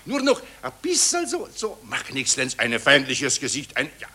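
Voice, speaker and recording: A man's voice: mid-range voice, huge pitch swings, normal speed, sharp tone, a little hiss or hum, exaggerated voice. An actor playing a fussy, hectoring man staging a war photograph in a satirical radio drama, repeating himself and stammering as he gives directions. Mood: fussy, bossy.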